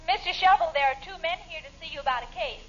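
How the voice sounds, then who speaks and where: Very high-voiced prim American secretary. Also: female voice, rapid-fire, fairly bright tone. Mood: prim, obliging. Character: prim female secretary to a private detective. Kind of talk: 1940s radio comedy acting. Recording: muffled, noisy vintage radio broadcast.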